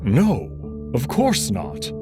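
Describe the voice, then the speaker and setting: A man's voice: medium pitch, wildly swooping pitch, extremely slow, over music, heavily acted. Man playing a confident, indignant wolf prince.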